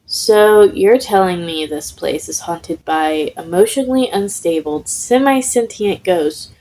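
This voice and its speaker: An exasperated male teacher, read by a woman in an audio story. He is dry and deadpan, muttering at a slow pace in a lowish register. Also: a little hiss or hum, poor audio. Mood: weary, deadpan.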